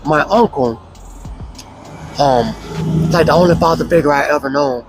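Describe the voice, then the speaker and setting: A man with a hoarse voice, casually telling his life story as an interview guest, slow and relaxed with many fillers. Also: a little high, music in the background.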